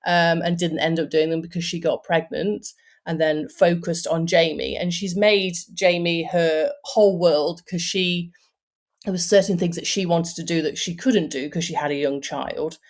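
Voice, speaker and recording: A woman's voice: fairly low pitch, fairly even intonation, normal speed. A woman in her forties, an author, talking about her book in a casual interview, chatty with lots of fillers and restarts. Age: 40s.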